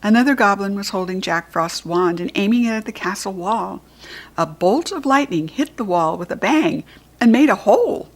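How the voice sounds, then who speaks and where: A woman with an American accent reading a children's book aloud in a clear, expressive voice. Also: mid-range voice, normal speed.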